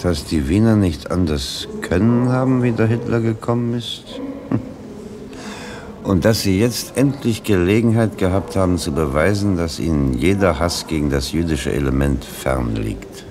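A man's voice: deep voice, lively intonation, a little slow. A man playing a timid, placating bystander in a German radio play, speaking with cautious hesitation. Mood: dutifully reporting. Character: timid, placating bystander relaying a public speech. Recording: noisy radio play, ambience behind.

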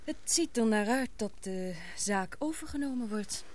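An actress playing an earnest young woman in a radio play, hesitant, questioning and expressive. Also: a little high, moderate pace, a little hiss or hum, lightly acted. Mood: friendly. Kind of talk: radio drama acting.

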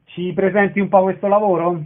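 A man's voice: high voice, level tone, a little fast. A man hosting a radio interview, putting short conversational questions to a guest. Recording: noisy, thin, phone-like radio line.

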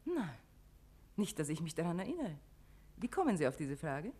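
An imperious countess in a vintage 1950s radio play. Her voice swings widely in pitch as she speaks with brisk, haughty, mocking politeness. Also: very animated, dark tone, breathy edge. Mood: evasive. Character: imperious, irritated countess with a teasing streak. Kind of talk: 1950s German radio drama acting.

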